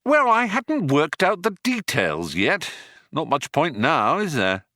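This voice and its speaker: A man's voice: on the high side, wildly swooping pitch, slow, pushed and bright, no echo, pitched above the performer's own voice, exaggerated voice. A man voicing a flippant, resigned male alien traveller from a storybook, with a breezy, dry, offhand manner about doom. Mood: breezy, rueful.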